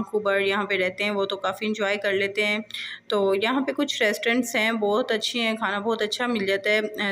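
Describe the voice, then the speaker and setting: A woman's voice: medium pitch, fairly bright tone, a little hiss or hum. A young adult woman, narrating a casual family vlog voice-over and chatting directly to viewers with many hesitations. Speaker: vlogger.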